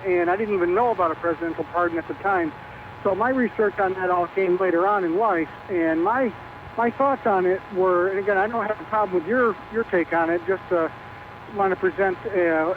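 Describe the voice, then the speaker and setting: A man of about forty calling a radio show, speaking in a courteous, measured way. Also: high voice. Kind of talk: polite phone-in discussion. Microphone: telephone. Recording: tinny noisy phone line on radio.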